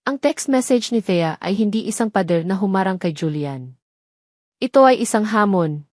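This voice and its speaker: A woman with a Filipino accent reading a romance story as a measured, dramatic narrator. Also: mid-range voice, expressive, darkish tone, no echo, clean studio sound.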